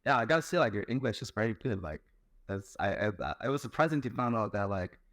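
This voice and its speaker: A young man, his voice sitting slightly low. He speaks as a guest in a loose, hesitant way, leaning on many fillers. The recording is dry, with no room echo. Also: lively intonation, quick pace, a bit bright. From China. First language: Chinese. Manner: hesitant, heavy use of "like" and "um" fillers. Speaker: guest, content creator. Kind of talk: casual online interview chat.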